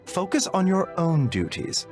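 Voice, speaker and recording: A soft-spoken, calm doctor. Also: male voice, high voice, measured pace, music in the background. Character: calm, gentle male physician, polite. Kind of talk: video game voice acting.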